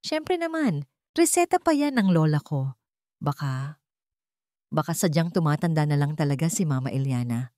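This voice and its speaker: A woman's voice: low voice, very animated, moderate pace, pauses often, darkish tone, no room sound. A woman storyteller puts on a scheming wife for an audio drama. She speaks with forced sweetness and a guarded, defensive edge. The recording is very dry. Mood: sweetly defensive.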